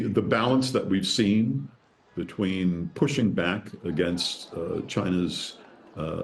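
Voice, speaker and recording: An older man answers interview questions in a very deep voice. He speaks haltingly, repeating words as he thinks. There is some faint background noise. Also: darkish tone. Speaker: interviewed former government official.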